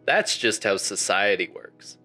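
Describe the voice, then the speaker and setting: Playful man announcer over loud guitar. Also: lowish voice, animated, measured pace. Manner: playful, mock-salesman delivery. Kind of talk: comic promo and show announcement. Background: guitar music.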